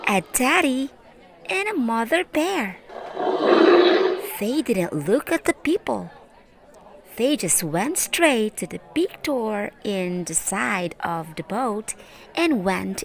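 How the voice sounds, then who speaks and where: A woman reading a children's Bible story aloud in an expressive, deliberate way. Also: fairly high pitch. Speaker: storyteller, teacher.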